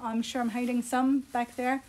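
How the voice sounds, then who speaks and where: Higher-pitched woman, chatty presenter. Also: flat delivery, normal speed, darkish tone. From Scotland. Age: middle-aged. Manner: chatty, unscripted, appreciative. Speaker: yarn shop host presenting products. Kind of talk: casual live product showcase. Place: small indoor room. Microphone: phone at arm's length.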